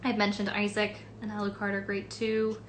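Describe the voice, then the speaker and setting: A woman's voice: medium pitch, completely flat delivery, brisk, slight background noise. Chatty young woman answering fan questions. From Los Angeles. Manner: chatty, lots of fillers, reads comments aloud. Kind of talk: casual live-stream Q&A.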